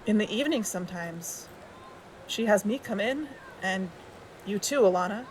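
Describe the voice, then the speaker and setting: A an actress as a quiet, guarded woman with a mid-pitched voice. She speaks tentatively and probingly in a natural audio-drama style, over faint ambient noise. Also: lively intonation, normal speed, close to an everyday voice, poor audio. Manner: tentative, questioning. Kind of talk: naturalistic audio fiction acting.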